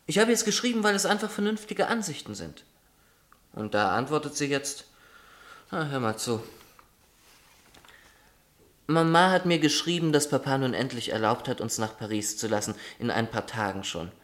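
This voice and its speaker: Young elder brother male actor: medium-pitched, chatty, teasing and fond, radio play delivery. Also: animated, moderate pace, long pauses, fairly bright tone, natural voice. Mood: amused, chatty. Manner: playful, wry, chatty. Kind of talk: German radio play acting.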